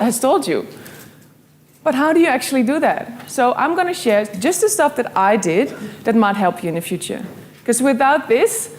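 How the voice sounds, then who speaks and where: A woman's voice: fairly high pitch, slight background noise. A young woman from the Netherlands with a Dutch accent, giving an earnest, story-driven motivational talk to an audience. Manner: earnest, storytelling, quotes her own thoughts.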